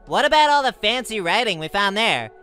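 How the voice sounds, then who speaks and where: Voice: medium pitch, very animated, a little fast, sharp tone, soft background music. A performer voicing a cheeky, mischievous young cartoon cup boy with a brash, wisecracking manner for a comic dub.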